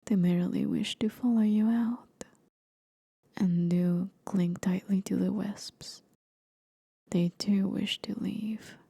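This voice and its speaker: A woman voicing a gentle, aged, mysterious demon of the forest in an intimate, soothing, breathy half-whisper for an ASMR roleplay. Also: mid-range voice, fairly even intonation, takes it slowly, dry recording, studio quality, exaggerated voice. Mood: tender, hushed.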